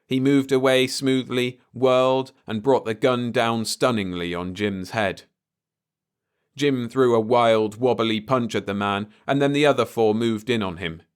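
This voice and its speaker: A man's voice: on the low side, smooth. A man narrating a scripted story as an audiobook reader.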